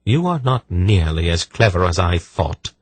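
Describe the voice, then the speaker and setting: A man's voice: sits low, big pitch swings, unhurried, slightly rough, no room sound, exaggerated voice. A man voicing a stern sorceress in a fantasy audiobook, cold, imperious and sure of her power. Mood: scornful, triumphant.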